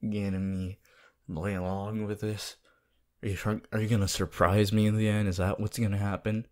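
A man playing a laid-back, teasing, sceptical teenage anime boy in an intimate, halting ASMR roleplay. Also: fairly low pitch, little pitch movement, lightly acted. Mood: amused, sceptical. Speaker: voice actor.

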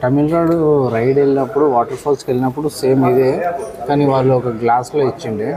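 A man's voice: medium pitch, steady tone, fast, crowd noise, low-quality recording. A young man, casually explaining to camera in a conversational way, repeating points for emphasis in a busy cafe. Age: young adult. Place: open cafe seating area. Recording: busy public cafe, people around.

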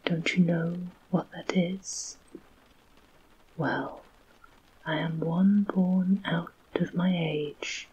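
A woman's voice: lowish voice, steady tone, a little mellow, dull audio, exaggerated voice. A woman whispering a weepy old dragon. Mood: self-pitying. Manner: whispered, slow and breathy. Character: self-pitying old male dragon, weepy and tragic. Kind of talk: whispered storybook reading.

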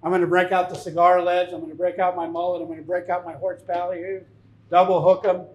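Higher-voiced, even-toned man giving an informal talk. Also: fast, muffled recording. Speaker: fishing captain giving a seminar. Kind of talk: informal seminar talk with anecdotes. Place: tackle shop, indoors, speaking to an audience. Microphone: lapel mic. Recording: echoey shop room.

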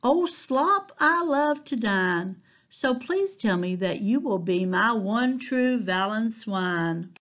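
A woman's voice: medium pitch, animated, takes it slowly. A woman doing a sad little piglet girl. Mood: hopeful. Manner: pleading, wistful.

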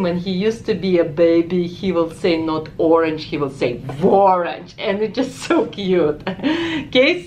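A woman's voice: medium pitch, a little hiss or hum. A woman in her forties from Ukraine with a heavy Ukrainian accent, chatting enthusiastically through a makeup review and swatching products in a store. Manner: chatty, enthusiastic, exclaims often. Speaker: beauty vlogger. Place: department store makeup counter, indoors. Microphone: camera close up.

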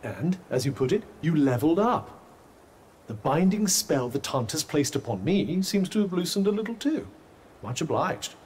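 A man's voice: fairly high pitch, sing-song, normal speed, darkish tone, husky, full character voice. A man playing an urbane magical talking cuff in a video game, with a formal, drily teasing manner. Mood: dry, teasing. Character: urbane, dry-witted talking magical cuff, male companion.

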